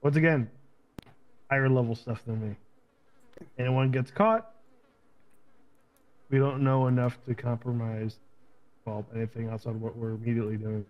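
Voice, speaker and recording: A man playing a cagey, low-ranking criminal henchman under questioning in an improvised online roleplay, answering curtly and offhandedly. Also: fairly even intonation, moderate pace, long pauses, lightly acted. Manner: curt, noncommittal, offhand. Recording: dull lo-fi voice chat.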